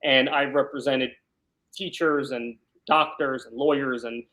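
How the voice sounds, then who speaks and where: A man with a mid-pitched voice answers as an interview guest. He is talkative and explanatory, speaking conversationally and often tagging points with a short check for agreement. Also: steady tone, measured pace, sharp tone, low-quality recording. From born in Ukraine, grew up in South Brooklyn, New York. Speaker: criminal defense lawyer, interview guest.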